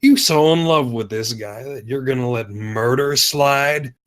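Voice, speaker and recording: An actor as a defiant cop with an average-pitched male voice. He answers curtly and with open sarcasm, in the manner of a dramatic script read. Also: unhurried, fairly bright tone, lightly acted. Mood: sarcastic, defiant. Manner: sarcastic, curt. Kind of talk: screenplay table read acting.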